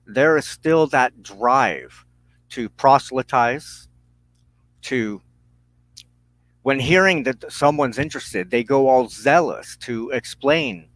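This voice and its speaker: A man speaks slowly and seriously in a reflective monologue, as the host of a spiritual broadcast. He breaks his thoughts into short, deliberate phrases with pauses between them. His medium-pitched voice sounds close and dry, with no room around it. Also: a bit bright, dry recording, low-quality recording. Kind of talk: reflective spoken monologue on personal belief.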